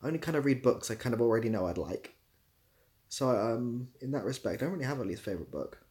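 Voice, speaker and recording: A man's voice: mid-range voice, lively intonation, quick pace, darkish tone. A young man hosting a casual livestream, reading out comments and giving dry, self-deprecating, rambling answers. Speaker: livestream host.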